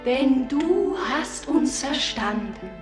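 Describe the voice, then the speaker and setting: An enchanting female nature spirit of flowers and springs, with a very high voice and slow, lyrical phrasing. She beckons lovingly in a poetic radio play, in an echoing space over music. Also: expressive. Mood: tender, enraptured. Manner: lyrical, enticing, incantatory. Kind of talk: poetic German radio drama acting. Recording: echoey studio with music behind. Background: music with violin.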